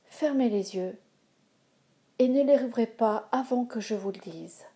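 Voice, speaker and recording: Very slow, soft-toned woman guiding relaxation. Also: fairly high pitch. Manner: calm, measured, soothing delivery. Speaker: yoga nidra instructor. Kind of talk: guided relaxation meditation, slow instructions with pauses.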